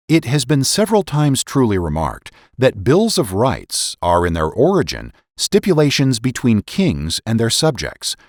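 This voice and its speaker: A man with a low voice gives a dramatic, formal reading as a narrator. His pitch rises and falls widely as he stresses key phrases like an orator. The sound is dry and close. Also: no room sound. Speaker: podcast host and narrator. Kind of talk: dramatic reading of historical political essays.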